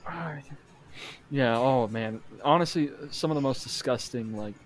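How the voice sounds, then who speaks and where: A young man telling stories in casual podcast chat, animated and jumping into put-on voices. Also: unhurried. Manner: animated storyteller, jumps high into put-on voices. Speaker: podcast co-host.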